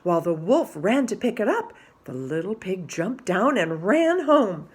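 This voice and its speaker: Animated, husky woman reading a storybook. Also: mid-range voice, a little slow. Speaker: children's storybook reader. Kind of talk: expressive read-aloud narration.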